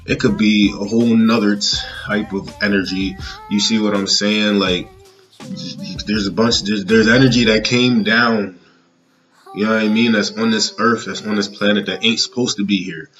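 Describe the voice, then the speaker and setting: Male, 30, casual personal vlog, halting and rambling delivery, lowish voice, dull lo-fi sound. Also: a bit bright. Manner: rambling, halting, often checking in with "you know what I'm saying". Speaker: vlogger. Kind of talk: casual personal monologue to viewers.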